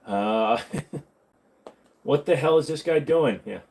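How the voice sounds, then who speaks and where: A man's voice: mid-range voice, lively intonation, slow pace, a little mellow. A man hosting a casual livestream, answering viewer questions with crude, rambling jokes.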